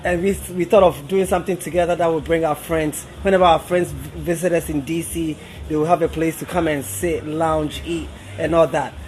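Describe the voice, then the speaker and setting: A man's voice: a little high, steady tone, fairly quick, few pauses, a little mellow, faint music behind, rough recording. A young man from Ghana, an event coordinator, explaining his restaurant to an interviewer in a friendly way, sometimes repeating words. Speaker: event coordinator and guest services manager. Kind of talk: interview guest. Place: upstairs lounge of a restaurant.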